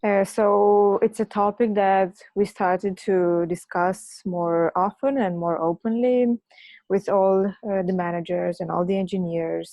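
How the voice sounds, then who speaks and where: A woman's voice: fairly low pitch, mellow, dry recording. A woman, an engineering manager joining a video call, speaking hesitantly and searching for words. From Romania. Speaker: engineering manager, webinar participant. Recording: dull, dry lo-fi video call audio.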